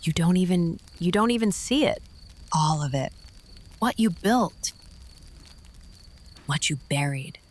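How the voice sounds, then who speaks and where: Embittered, very low-voiced woman. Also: animated, slow pace, long pauses, a little mellow. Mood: bitter anguish. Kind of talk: scripted noir audio drama dialogue.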